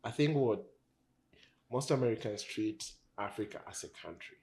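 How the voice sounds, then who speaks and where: Tanzanian man, low voice, easygoing chat, restarts phrases. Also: moderate pace, creaky. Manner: relaxed, repeats words while thinking. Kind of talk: casual conversation.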